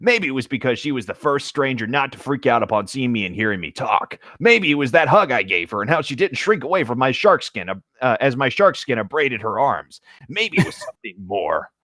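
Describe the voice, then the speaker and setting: Playful man with a lively, swinging pitch. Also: brisk, hardly any pauses, no echo, studio quality. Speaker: co-host reading fan fiction aloud. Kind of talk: casual banter and informal story reading.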